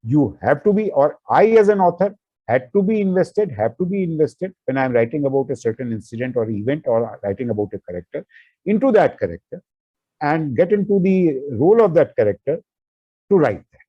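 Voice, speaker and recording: A man's voice: a little high, animated, fairly quick, husky, no echo, dull audio. A man whose first language is not English, an author answering interview questions with many fillers and restarts.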